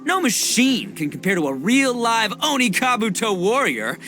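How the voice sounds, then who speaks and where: Loud, excitable young oni gang boss. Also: male voice, sits high, normal speed, soft background music, full character voice. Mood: fired-up, boastful. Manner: brash, theatrical, slangy. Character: boisterous, cocky, hot-blooded young male oni gang boss. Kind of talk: anime game voice acting.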